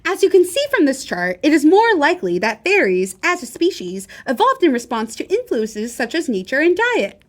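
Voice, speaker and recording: Storybook fairy girl played by a woman reader: earnest young science buff, high voice, lively but factual delivery. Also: normal speed, few pauses. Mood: earnest explaining. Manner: matter-of-fact, explanatory. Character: earnest, science-minded little female fairy who explains facts.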